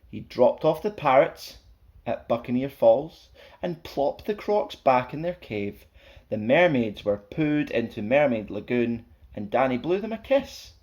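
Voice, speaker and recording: A man's voice: fairly high pitch, darkish tone. Man reading a playful rhyming children's story. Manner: playful, theatrical, sing-song rhythm. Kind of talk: animated read-aloud of a rhyming children's story.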